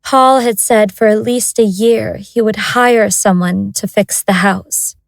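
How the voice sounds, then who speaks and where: A woman's voice: medium pitch, steady tone, slow, vocal fry, no echo. A woman narrating a scripted audio drama as its storyteller.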